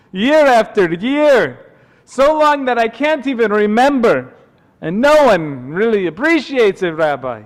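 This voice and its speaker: Man playing a weary old water carrier, high-pitched. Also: heavily acted. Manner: lamenting, drawn-out exclamations. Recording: long hall reverb.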